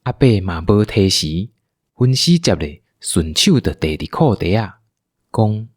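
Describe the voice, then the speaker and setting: A man's voice: lowish voice, sing-song, a little slow, breathy edge, no echo, studio quality. A man with a Taiwanese accent narrating a scripted audio drama as a storyteller.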